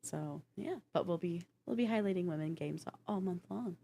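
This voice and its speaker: A woman's voice: lowish voice, moderate pace, vocal fry, mellow. Casual woman co-hosting a chat show. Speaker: co-host and game streamer. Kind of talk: casual chatty show presenting.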